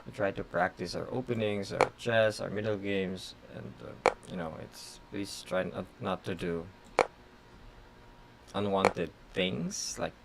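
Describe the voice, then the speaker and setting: A chess grandmaster whose first language is Tagalog, streaming blitz games and thinking aloud in short, halting phrases full of fillers. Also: male voice, on the low side, lively intonation, vocal fry, heavy background noise. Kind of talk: casual live-stream commentary.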